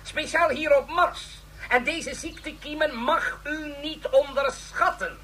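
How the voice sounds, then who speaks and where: Grave elderly lecturer, faint noise. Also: male voice, very high voice, slow, pushed and bright, exaggerated voice. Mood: stern warning. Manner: solemn, formal, warning. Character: grave, earnest elderly ideologue lecturing, male. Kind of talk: radio drama oratory, lecture.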